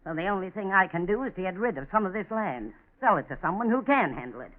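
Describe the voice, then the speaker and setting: An actress playing a curt, sarcastic, middle-aged farm widow and scolding aunt in a 1940s radio drama. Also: female voice, medium pitch, lively intonation, quick pace, lightly acted. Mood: resigned, grumbling. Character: stern, hard-working widowed farm owner, scolding aunt. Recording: muffled, tinny 1940s radio broadcast.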